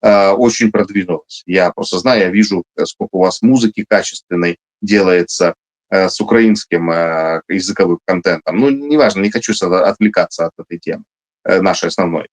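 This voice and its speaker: Emphatic low-voiced man, halting. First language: Russian. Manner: emphatic, many hesitations and restarts. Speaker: guest, military officer. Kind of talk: interview answers.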